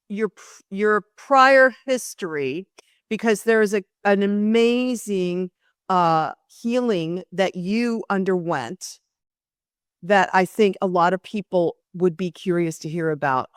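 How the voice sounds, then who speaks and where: A woman's voice: medium pitch, smooth, no echo, studio quality. A woman hosting an interview podcast, talking earnestly with many fillers and restarts. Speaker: podcast host.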